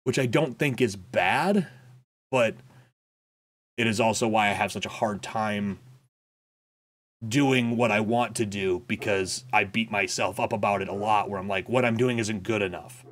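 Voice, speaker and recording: A young man talking candidly in a personal vlog update, reflective and halting, with frequent fillers and restarts, recorded indoors in a small home room on a desk microphone. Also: lowish voice, a bit bright. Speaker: content creator. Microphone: boom-arm desk mic, wearing headphones.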